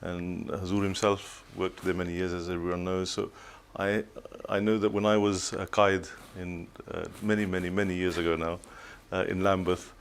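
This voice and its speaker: A man's voice: sits low, little pitch movement, normal speed, few pauses, slight background noise. An older man from Tanzania, a studio guest on a telethon, speaking reflectively with many restarts.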